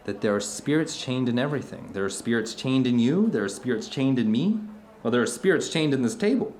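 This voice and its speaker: Philosophical dinner companion, male actor, earnest and searching, widely varied pitch, emphatic, naturalistic audio drama. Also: a little mellow, natural voice. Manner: emphatic, searching, asks rhetorical questions. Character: earnest, philosophical man telling anecdotes over dinner, urging his friend.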